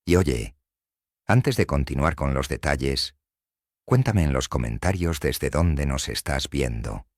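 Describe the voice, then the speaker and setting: A man's voice: extremely low pitch, expressive, a little slow, dry recording, clean studio sound. A man with a Mexican accent narrating a scripted horror story in a grave, suspenseful manner.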